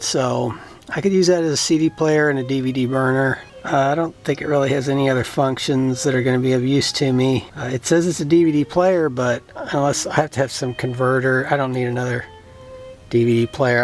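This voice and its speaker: A middle-aged man talks casually and off the cuff as he shows things at home, rambling a little in a matter-of-fact way. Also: medium pitch. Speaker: vlogger showing home electronics. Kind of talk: casual unscripted show-and-tell. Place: indoors at home.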